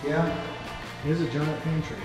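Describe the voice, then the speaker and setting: A man's voice: fairly high pitch, level tone, normal speed, heavy reverb, over music, poor audio. Crude, joking man touring a house. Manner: crude joking, blunt opinions. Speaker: real estate vlogger. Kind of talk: casual walkthrough commentary. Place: unfinished house under construction, indoors and outdoors.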